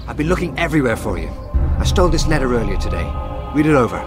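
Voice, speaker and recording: A man playing a brisk, friendly assassin informant in a video game. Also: medium pitch, big pitch swings, over music, close to an everyday voice. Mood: brisk, helpful. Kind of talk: dramatic game dialogue.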